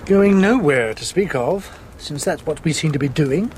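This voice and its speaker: A male actor plays a bookish Roman historian, curious and faltering, with a wry touch. The voice is high for a man and animated, in a radio drama with clear scene noise behind it. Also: moderate pace, lightly acted. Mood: hesitant, wry. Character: Priscus, hesitant, curious, scholarly Roman historian.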